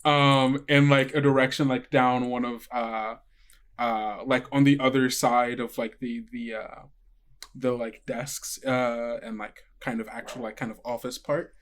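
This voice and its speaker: A game master with a mid-pitched, androgynous voice improvises scene description for a tabletop roleplaying game. The delivery is casual and conversational, feeling its way haltingly through each detail. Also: little pitch movement.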